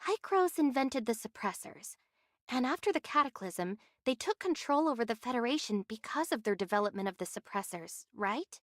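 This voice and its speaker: An earnest young heroine from an anime adventure game, acted by a woman. Her voice is notably high and she speaks directly and inquisitively. The sound is dry and studio-close. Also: lively intonation, moderate pace, dry recording, close to an everyday voice. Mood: troubled realization. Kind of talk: scripted anime game voice acting.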